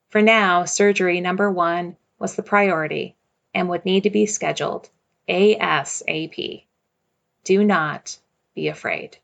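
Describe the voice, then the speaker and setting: A woman recounts a personal story in a measured, reflective voice at a middling pitch. A gravelly, creaky texture runs through her speech, and she keeps coming back to the same quiet refrain.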